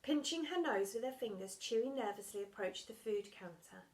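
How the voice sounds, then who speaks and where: Animated woman reading a children's story. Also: on the high side, normal speed. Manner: dramatic, emphasises sound words. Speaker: teacher reading a story to pupils.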